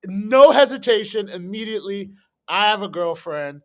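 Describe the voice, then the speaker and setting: Animated high-voiced man reacting on a livestream. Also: normal speed. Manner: slangy, addresses chat, mimics people. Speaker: livestream host. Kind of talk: casual live reaction commentary.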